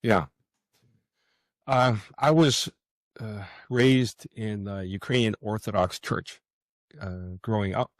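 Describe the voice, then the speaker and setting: A man with a low, deep voice chats as a guest in an interview. He speaks haltingly, pausing and doubling back on his words. The sound is close and dry. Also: no echo. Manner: hesitant, halting, with frequent pauses and repeated words. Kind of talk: casual interview conversation.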